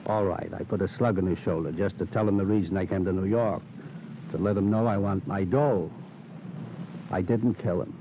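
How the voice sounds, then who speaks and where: A tough, cagey mobster on a tinny old radio broadcast with faint noise. His voice sits low, and he talks in terse, sardonic lines like a big cool hoodlum in a crime drama. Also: steady tone, normal speed. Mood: cagey, defiant. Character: big well-dressed Chicago hoodlum, male, cool and cagey.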